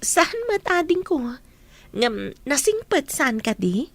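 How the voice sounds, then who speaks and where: A woman's voice: high voice, very animated, takes it slowly, full character voice. A woman playing an earnest, working-class young eldest sister in love in an Ilocano radio drama. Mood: caring.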